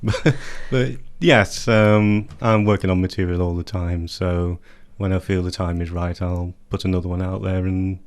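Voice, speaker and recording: A man's voice: sits low, fairly even intonation, moderate pace, clean tone. A man, a poet chatting in a radio studio interview and reading his work, with light laughs. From England. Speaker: poet guest.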